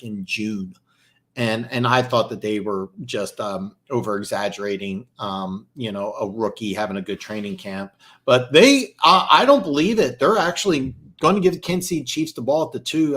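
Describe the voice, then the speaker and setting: A young man doing live play-by-play and reaction for a football game, excitable and prone to fillers, with a sore throat as he starts to lose his voice. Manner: excitable, jumps high and loud on big plays, lots of fillers. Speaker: amateur play-by-play commentator.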